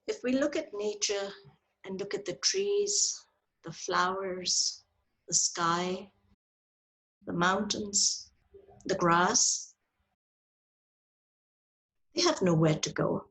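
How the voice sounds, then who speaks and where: Slow, husky woman guiding meditation. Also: mid-range voice, low-quality recording. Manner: gentle, deliberate phrasing with long pauses. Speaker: meditation teacher leading a yoga nidra. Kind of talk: guided meditation. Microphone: video call. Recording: video call audio with clicks.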